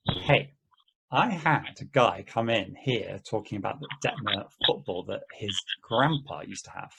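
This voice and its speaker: A man reading the part of an excitable, exasperated guy recounting mishaps in casual conversation at an amateur play table read. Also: lowish voice, vocal fry, a little hiss or hum, low-quality recording. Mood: cautiously hopeful.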